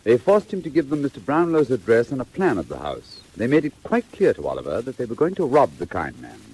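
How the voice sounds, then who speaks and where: Highly animated dramatic storyteller. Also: male voice, fairly quick, husky, low-quality recording. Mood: grave. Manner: formal, theatrical. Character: grave, dramatic storyteller of a classic tale. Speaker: narrator. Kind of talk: scripted 1940s dramatic narration. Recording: old 1940s record with faint noise.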